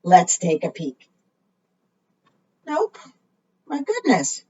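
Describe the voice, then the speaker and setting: A woman's voice: on the low side, wildly swooping pitch, drawn-out words, a little mellow, husky. A woman reading aloud to young children as a storytime leader, in a sing-song way, often putting questions to her listeners. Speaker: librarian leading preschool storytime. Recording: muffled, distant microphone.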